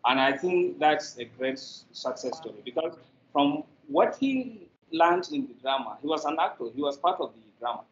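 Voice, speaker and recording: A man's voice: fairly high pitch, lively intonation, moderate pace. A middle-aged man giving an answer as a guest in an interview on a video call. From Nigeria. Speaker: media producer, interview guest. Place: echoey indoor room, on a video call. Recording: roomy, dull, noisy video call.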